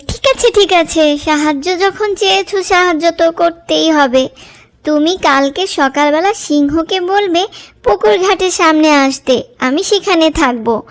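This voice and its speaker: A woman voicing a clever, helpful cow in a children's cartoon, with a coaxing, mock-humble way of talking. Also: high-pitched. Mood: friendly, helpful. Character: clever, helpful cow who sweetly outwits the powerful. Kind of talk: children's cartoon story dialogue.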